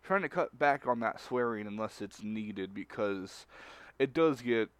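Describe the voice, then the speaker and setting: A man co-hosting a casual group stream chat, speaking haltingly and often rephrasing as he goes. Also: lowish voice, expressive, no echo. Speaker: stream co-host.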